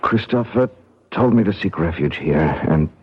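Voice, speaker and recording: A man's voice: low-pitched, animated, moderate pace, slightly rough. A man playing an earnest college teacher in a 1970s radio drama. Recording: muffled old radio broadcast.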